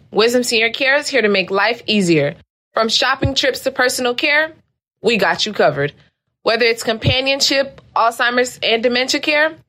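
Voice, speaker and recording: A woman reads an advertisement in a warm, reassuring tone. Her voice sits a little high. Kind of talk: read advertisement.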